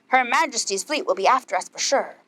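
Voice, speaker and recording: Woman voicing a squeaky pirate's parrot sidekick. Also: animated, a little fast, sharp tone. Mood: urgent. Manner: squeaky, fretful pirate-bird talk.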